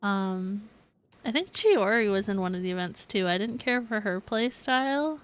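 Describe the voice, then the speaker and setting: A woman's voice: medium pitch, lively intonation, normal speed. A woman streaming a video game, chatting casually and giggly with her viewers. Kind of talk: casual livestream chat with viewers.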